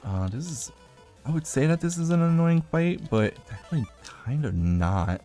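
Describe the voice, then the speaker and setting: A man's voice: low-pitched, a little mellow. A man giving casual video game commentary as a streamer, rambling and thinking aloud as he plays.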